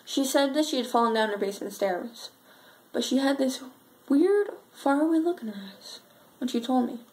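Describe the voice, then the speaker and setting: A young woman performing an amateur dramatic monologue as a sad, frightened schoolgirl, telling it earnestly with halting pauses. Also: sits high, expressive, darkish tone, rough recording. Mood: subdued, uneasy. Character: sad, frightened schoolgirl recalling her missing friend.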